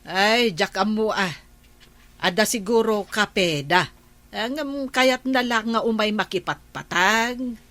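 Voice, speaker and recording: A woman's voice: medium pitch, slow pace, sharp tone. A woman acting a patient, tactful village wife in a comedy radio drama, with an Ilocano accent. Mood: patient, placating.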